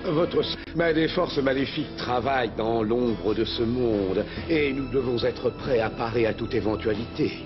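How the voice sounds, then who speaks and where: A man acts out a scheming prime minister from a cartoon, formal and self-important. He gloats coldly in a mid-pitched voice. The sound is slightly dull, with loud music behind. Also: lightly acted. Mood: falsely concerned. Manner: formal, pompous, slow gloating delivery. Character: scheming villain prime minister, cold, gloating, power-hungry. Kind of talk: French cartoon dub acting.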